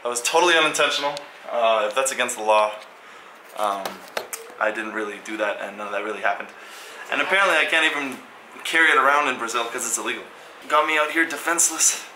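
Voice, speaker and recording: A man's voice: mid-range voice, quick pace, reverberant, background noise. A man in his twenties talking casually into a handheld camera as a vlogger, wry and self-mocking. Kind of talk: casual vlog chat. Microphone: handheld camera at arm's length.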